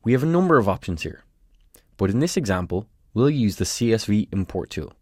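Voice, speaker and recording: A man presenting a scripted tutorial, giving clear step-by-step instructions in a close, dry voice-over recording. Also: fairly low pitch, very animated, fairly quick, darkish tone, dry recording.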